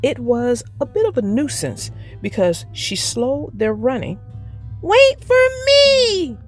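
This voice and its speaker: Lively, unhurried woman reading a storybook. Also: on the high side, husky, soft background music. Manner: expressive, deliberate storytelling. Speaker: storybook narrator. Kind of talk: children's read-aloud narration.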